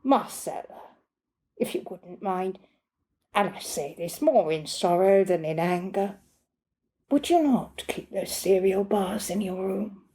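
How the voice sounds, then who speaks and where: A courteous titled gentleman, read by a female author in a short story. He is condescending and gently reproving, with weary politeness. The tone is soft and mellow. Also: medium pitch, expressive, slow pace.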